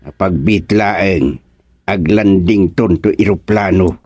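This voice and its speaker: A man's voice: low voice, slow pace, darkish tone, no room sound, clean studio sound. A male actor playing a jittery, puzzled village sidekick in an Ilocano radio comedy drama, exclaiming and questioning theatrically with an Ilocano Filipino accent.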